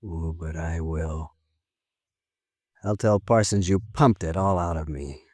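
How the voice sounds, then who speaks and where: A man's voice: low voice, takes it slowly, darkish tone, no room sound. An actor playing a weary, bitter henchman of a tycoon, resigned and cynical, in 1950s radio drama style. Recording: restored old radio broadcast, faint music.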